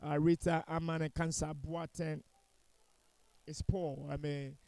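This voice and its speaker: A man's voice: on the high side, level tone, a little slow, darkish tone, no room sound. A man giving live football commentary in a critical, opinionated way, repeating points for emphasis.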